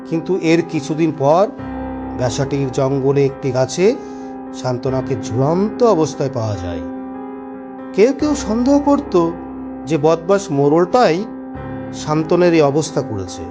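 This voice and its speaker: A man playing a knowing village gentleman in a horror cartoon, who explains local ghost lore in an emphatic, theatrical way. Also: on the high side, lively intonation, measured pace, long pauses, over music. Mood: grave storytelling. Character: knowing village gentleman, reassuring yet scolding, telling a ghost tale. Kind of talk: dramatic cartoon story dialogue.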